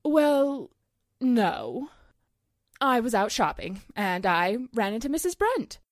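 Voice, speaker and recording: A woman's voice: fairly high pitch, big pitch swings, takes it slowly, dry recording, clean studio sound. A woman acting a chatty, coy small-town 1940s housewife who loves gossip, in a radio drama. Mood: defensive.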